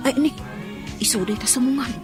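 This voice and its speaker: A woman acts a bride's gossipy, concerned friend in a radio drama. Her voice is fairly high, expressive and confiding. Music is clearly audible behind. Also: a little fast, close to an everyday voice.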